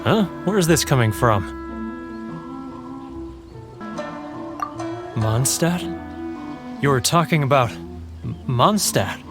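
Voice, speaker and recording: An actor playing a theatrical, guilt-ridden and homesick foreign merchant in a video game. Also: male voice, mid-range voice, lively intonation, unhurried, music in the background. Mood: irritated, suspicious. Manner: dramatic, wistful, full of sighs. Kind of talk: video game character acting.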